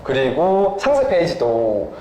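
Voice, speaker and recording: A man's voice: a little high, huge pitch swings, unhurried, warm tone. A man giving a polite explanation as an interview guest, often humming briefly between phrases. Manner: polite, frequent short hums between phrases. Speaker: software developer, interview guest. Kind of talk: explanatory interview talk about a product. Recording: roomy echo, faint background noise.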